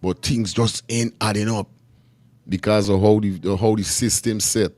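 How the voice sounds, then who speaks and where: A man's voice: lowish voice, fairly even intonation, unhurried, a little mellow, no echo. A man with a Guyanese accent hosting a casual live stream, talking in repetitive, slangy street talk. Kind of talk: casual live chat commentary.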